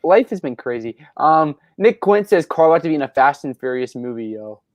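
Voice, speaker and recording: A man's voice: on the high side, animated, moderate pace, no echo. A nineteen-year-old man hosting a casual Q&A from home, upbeat and teasing, laughing often as he reads out viewer questions. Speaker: host of a Q&A livestream. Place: at his rural home.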